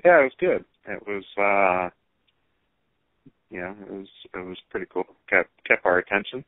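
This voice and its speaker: Low-voiced man on a tinny phone line. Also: lively intonation, normal speed, dry recording. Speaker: RC car racer being interviewed. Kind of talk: casual phone interview about hobby racing. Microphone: telephone.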